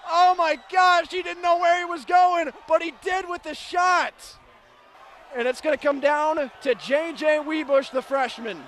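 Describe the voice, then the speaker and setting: A man calling ice hockey play-by-play live on radio, excitable and building to shouted calls. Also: very high-pitched. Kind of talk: live radio sports commentary. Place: broadcast position at hockey games. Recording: live arena broadcast, clearly noisy background.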